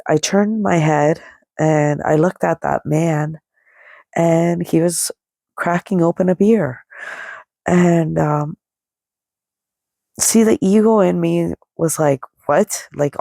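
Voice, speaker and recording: A woman's voice: lowish voice, slow, creaky, warm tone, no echo, clean studio sound. A middle-aged woman podcast host telling a personal story casually in a rambling, conversational way, with a nasal voice stuffed up by a head cold. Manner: rambling, conversational, quoting dialogue as she goes. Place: indoors, lying down, dry close sound.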